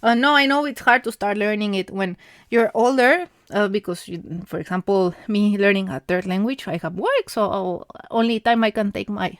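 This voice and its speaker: A woman's voice: medium pitch, moderate pace, dry recording. A woman in her thirties with a Mexican Spanish accent, a native Spanish speaker, talking casually on her podcast, hesitant with many fillers and restarts and frequent light laughs. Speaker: podcast host. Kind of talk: casual monologue.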